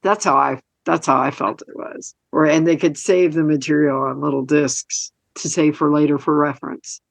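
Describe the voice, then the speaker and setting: A woman with a gravelly creak in her voice co-hosts a podcast. She chats casually and hesitantly, rewording as she explains, in a dry recording. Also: sits low, steady tone, moderate pace, no echo. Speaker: podcast co-host. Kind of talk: casual review chat.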